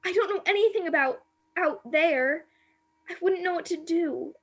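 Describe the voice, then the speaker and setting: A woman's voice: extremely high pitch, normal speed. A woman acting a timid, sorrowful young captive maid in a radio play, plaintive and naive, recorded over a video call. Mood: timid, fearful.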